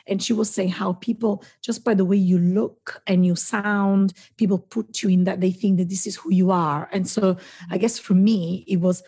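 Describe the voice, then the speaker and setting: A woman whose first language is Italian speaks in a warm, soft-edged voice with a breathy texture. She talks effusively in long, winding thoughts as a guest on a podcast. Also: mid-range voice, fairly even intonation, moderate pace. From southern Italy. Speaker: guest, founder of a storytelling network. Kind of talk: casual conversational interview.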